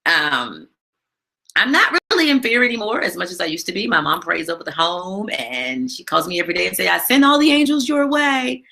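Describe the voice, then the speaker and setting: A woman hosting a live stream from home, chatting casually with lots of fillers and a big, loud voice. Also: on the low side, very animated, a little fast, vocal fry. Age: adult with a daughter. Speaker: live stream show host. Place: home, not her usual studio.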